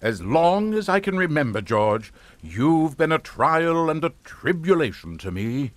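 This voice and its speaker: A man's voice: on the high side, big pitch swings, measured pace, no room sound. An actor playing a domineering, coolly scheming middle-aged father in a radio drama, with a pompous, condescending delivery. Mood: smug, mock-regretful.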